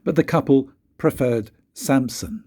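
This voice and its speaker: An older man, a grandfather, reading a children's story aloud in a husky, breathy voice with expressive, dramatic pauses. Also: medium pitch. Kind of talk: storybook read-aloud narration.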